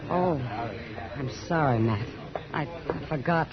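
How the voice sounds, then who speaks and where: A woman's voice: deep voice, slow, crowd noise. An American woman playing a bold, sardonic, plain-spoken frontier saloon woman in a 1950s radio western. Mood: rueful. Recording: dull, noisy 1950s radio recording.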